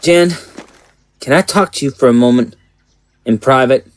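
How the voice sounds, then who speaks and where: A man's voice: mid-range voice, moderate pace, no echo, natural voice. A man playing an earnest, protective small-town sheriff in an audio drama, with a folksy, plain-spoken manner. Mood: earnest, hesitant.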